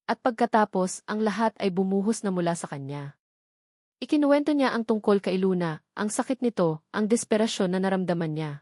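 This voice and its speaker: A woman's voice: mid-range voice, expressive, fairly quick, dark tone, no echo. Woman narrating a romance, dry recording. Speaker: audiobook narrator. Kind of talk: dramatic scripted narration of a romance story.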